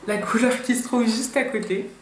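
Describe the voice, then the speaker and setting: A woman's voice: a little high, fairly even intonation, moderate pace. A young woman from France chatting casually to camera in a nervous, chatty makeup review full of fillers. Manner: chatty, nervous first-timer, many fillers. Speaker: beauty vlogger. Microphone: camera mic. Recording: echoey room, faint hiss.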